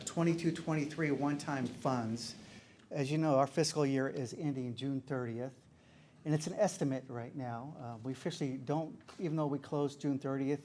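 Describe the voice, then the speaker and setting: A man presenting at a board meeting, speaking in a relaxed, chatty way with frequent ums. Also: on the high side, a little hiss or hum. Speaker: finance administrator presenting to a board.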